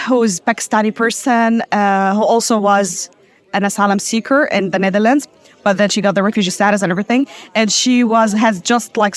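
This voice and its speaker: Woman from Palestine, earnest, halting restarts, medium pitch, interview testimony. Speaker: conference participant.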